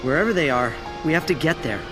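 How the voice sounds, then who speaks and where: An earnest, wounded young male hero, played by an actor, in an audio drama. His voice swings widely with emotion and uncertainty, and music plays clearly behind it. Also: on the high side, expressive, fast talker, lightly acted. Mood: determined. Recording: prominent background music.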